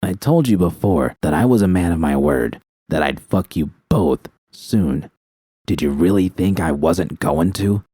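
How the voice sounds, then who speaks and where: Man voicing a low, menacing male gangster. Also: animated, a little slow, warm tone, slight background noise. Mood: menacing, gloating. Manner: gloating, drawling threats. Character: menacing, taunting male gangster holding a hostage. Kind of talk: dramatic audiobook character reading.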